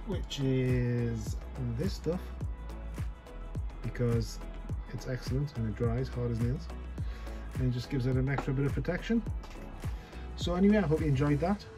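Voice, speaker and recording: A chatty man talks through a fly tying tutorial at his desk, joking at his own expense and thinking aloud as he goes. His voice sits at a middling pitch. Music plays clearly underneath. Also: moderate pace. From North East England. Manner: chatty, self-deprecating, thinks aloud while working. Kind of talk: casual off-the-cuff tutorial.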